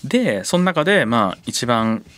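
A man's voice: medium pitch, very animated, a little slow, a bit bright. A man hosting a radio talk show in a casual, interested, conversational manner.

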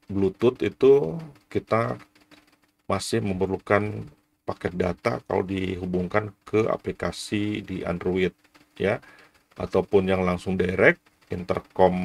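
A man's voice: deep voice, vocal fry, husky. A man in his forties giving a casual, explanatory product review to camera, often tagging his sentences. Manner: frequent "ya" tags, explanatory. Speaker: vlogger reviewing gear.

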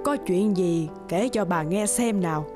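A woman's voice: fairly low pitch, a little slow, over music, close to an everyday voice. An actress playing a kind, doting old neighbour woman in a cartoon, with an affectionate, fondly chiding manner. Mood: concerned, comforting. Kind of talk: cartoon voice acting.

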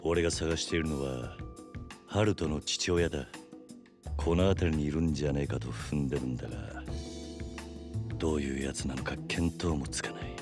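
Gruff middle-aged tough guy, extremely low voice, terse, stoic, game cutscene, music behind. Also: moderate pace, long pauses. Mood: serious, reserved. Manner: terse, restrained. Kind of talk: video game cutscene acting.

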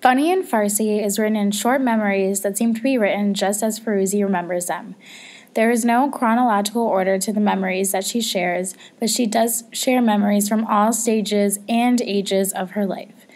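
Young woman reading a report evenly. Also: mid-range voice. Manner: measured, even reading. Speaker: student presenter. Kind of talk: reading a book report aloud.

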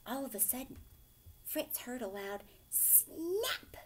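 A woman reads a children's story aloud at an unhurried pace. Her voice sits fairly high and swings widely in pitch, with an instructive delivery that stops to explain and question. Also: slight background noise. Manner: expressive, instructive, pausing to ask questions. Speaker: teacher reading a picture book aloud. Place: furnished living room, indoors.

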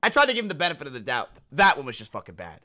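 A man's voice: fairly high pitch, huge pitch swings, pushed and bright. A young man streaming from his room on a headset, reacting with crude, rambling commentary. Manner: crude, rambling, fast bursts. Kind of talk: casual livestream commentary.

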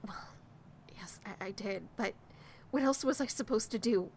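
A woman's voice: medium pitch, normal speed, darkish tone, a little hiss or hum, natural voice. A woman playing an anxious, remorseful woman in an audio drama, hesitant and pleading. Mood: pleading, defensive.

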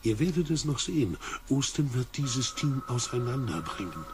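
A resentful crewman, swinging pitch. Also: sing-song, moderate pace, loud music behind the voice. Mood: bitter, ominous. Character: suspicious, resentful male expedition member. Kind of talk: radio drama acting. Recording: noisy background with clicks.

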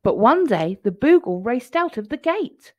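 A woman's voice: on the high side, sing-song, unhurried, mellow, dry recording. A woman reading a children's picture book aloud in a storytelling style.

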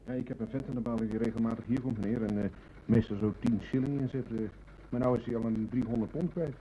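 A man's voice: mid-range voice, fairly even intonation, quick pace, mellow, muffled recording, close to an everyday voice. A man acting a deferential, chatty betting shop clerk in a Dutch radio play. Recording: noisy old radio play recording.

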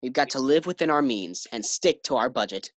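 Man playing exasperated sensible husband, high-voiced for a man. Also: lively intonation, a little fast, no echo, lightly acted. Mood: earnest. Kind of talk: 1940s radio sitcom acting.

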